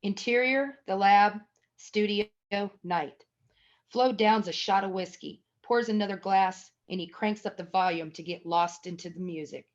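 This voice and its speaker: A woman's voice: medium pitch, unhurried. A woman reading stage directions as the narrator of a screenplay table read. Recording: dull, lo-fi audio.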